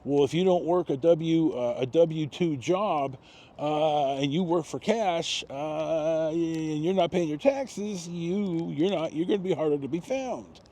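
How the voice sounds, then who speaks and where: Mellow, halting American man in his sixties. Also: fairly high pitch. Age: 60s. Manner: halting, rambling, with long pauses and self-corrections. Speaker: video storyteller. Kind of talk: casual autobiographical storytelling. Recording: noticeable background noise.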